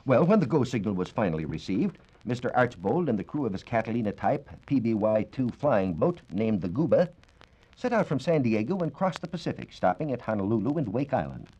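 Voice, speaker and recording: Expressive American man on dull 1940s radio. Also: medium pitch, husky. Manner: formal, measured, explanatory. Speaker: science reporter. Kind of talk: 1940s radio science talk, scripted explanatory narration. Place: radio studio. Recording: dull, band-limited 1940s radio recording.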